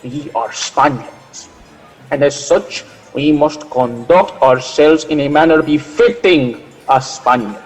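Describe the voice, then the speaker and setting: An actor playing a cautious, diplomatic Spanish priest who speaks in a measured, formal way in a radio drama. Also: male voice, on the high side, husky. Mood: admonishing. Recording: long hall reverb, music underneath.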